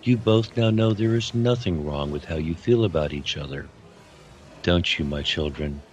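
An actor playing a wise, fatherly man of mystery who gently reassures souls in a fantasy audio drama. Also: low voice, moderate pace, muffled recording, close to an everyday voice. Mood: earnest, reassuring.

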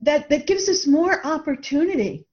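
A woman with a fairly high voice speaks as an interview guest, reflective and earnest. She stresses her points and sometimes doubles back on a word. The audio is slightly muffled and lo-fi. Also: animated, moderate pace, darkish tone. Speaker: interview guest, author and coach. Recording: dull, band-limited lo-fi audio.

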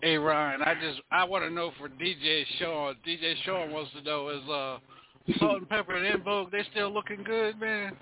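A male host with a fairly high voice, asking rambling, friendly-toned questions in casual chat. His voice comes through thin and phone-like. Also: steady tone, moderate pace. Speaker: show host. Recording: tinny phone-like line.

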